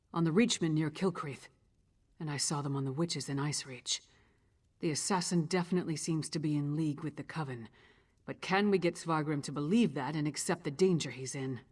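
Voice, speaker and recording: This is a towering half-giant warrior from a fantasy game. She is low and raspy, speaking bluntly with loyal, gruff resolve. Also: darkish tone. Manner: plain-spoken, gruff, resolute.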